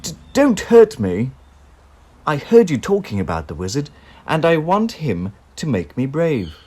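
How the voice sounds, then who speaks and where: A man puts on a cowardly lion that blurts its words out loudly and stammers with fear. The voice jumps up high and swings widely in pitch, with a husky grain. It sounds like a children's storybook read-aloud. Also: wildly swooping pitch, measured pace, exaggerated voice. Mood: frightened. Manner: loud, stammering, fearful. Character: cowardly trembling male lion.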